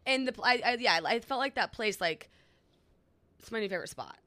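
A woman's voice: a little high, animated, brisk, pushed and bright. A woman in her thirties co-hosting a podcast, telling stories in a chatty, rambling way with lots of fillers. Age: 30s. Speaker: podcast co-host. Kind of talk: casual chat.

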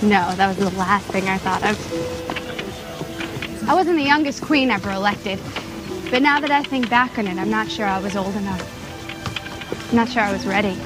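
Woman acting as modest young ex-queen, soft reflective movie lines, music playing behind. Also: mid-range voice, animated, moderate pace, pauses often, lightly acted, rough recording. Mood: humble, doubtful. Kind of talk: soft film drama dialogue. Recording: film clip with music behind.

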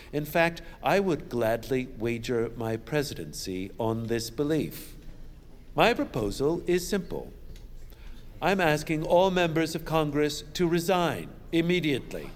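A man's voice: fairly high pitch, sing-song, unhurried. A man playing a smug, mocking American senator of the 1910s in an audio drama, speaking with sarcastic, gloating showmanship. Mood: mock-solemn. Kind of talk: audio drama speech, theatrical letter reading. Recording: echoey senate hall, background noise.

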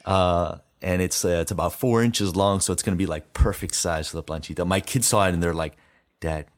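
A man's voice: sits low, fairly even intonation, a little fast. A middle-aged man co-hosting a chat show, talking casually in an enthusiastic, meandering storytelling way.

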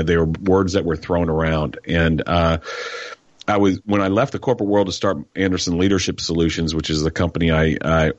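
A man's voice: deep voice, dry recording, dull audio. A man from New York with a Texas-tinged accent, a guest author telling stories in a radio interview. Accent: Texas-tinged American. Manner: folksy storyteller, acts out quoted voices.

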